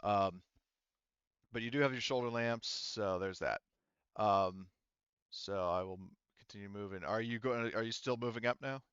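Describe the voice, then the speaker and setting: Low-voiced man, clear and halting game master. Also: a bit bright, no echo, highs cut off. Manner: halting, conversational explaining. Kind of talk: improvised tabletop game narration.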